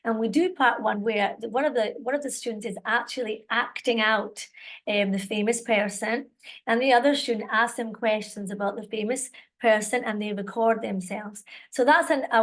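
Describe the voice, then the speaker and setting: A middle-aged woman with a Scottish accent leads a live online session for teachers. Her voice sits a little high, and her tone is chatty and encouraging. She keeps checking in with her listeners, heard through a computer mic on a video call. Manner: chatty, encouraging, lots of "all right" and "okay" check-ins. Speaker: webinar presenter and teacher trainer.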